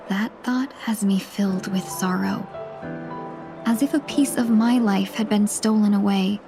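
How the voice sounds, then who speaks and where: A refined young lady from a video game. She speaks in measured, courteous phrases at a middling pitch, gentle and a little wistful. Clear music plays underneath. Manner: polite, measured, softly formal. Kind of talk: English video game voice acting. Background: background music.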